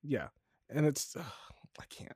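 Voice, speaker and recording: A man from Chicago hosting a casual podcast chat, animated and swearing, his voice leaping high when riled. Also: a little slow, husky, no echo, whispered, studio quality. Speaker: podcast host.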